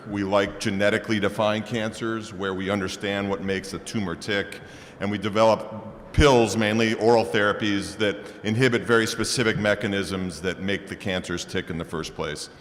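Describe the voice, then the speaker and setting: Man, biotech CEO, brisk and factual, lowish voice, faint room noise. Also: fairly even intonation, a bit bright. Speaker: biotech company founder and CEO. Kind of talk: panel introduction. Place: conference hall. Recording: long hall reverb, faint room noise.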